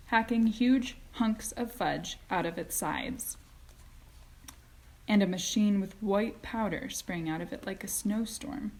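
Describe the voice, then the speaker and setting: A woman's voice: medium pitch, a little mellow, poor audio. A woman teacher narrating a children's story expressively, in read-aloud style.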